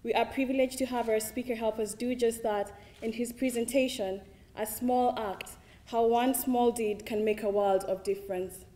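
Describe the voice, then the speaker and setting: A young woman from Kenya with a Kenyan accent, a student giving a formal, measured introduction of a lecture speaker. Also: on the high side, fairly even intonation, dark tone. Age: young adult. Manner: measured, read from a script.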